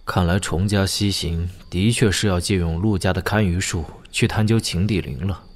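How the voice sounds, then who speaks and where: A man's voice: low-pitched, normal speed. An actor playing a shrewd, wry young nobleman swordsman in a wuxia audio drama, musing with playful interjections. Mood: pondering.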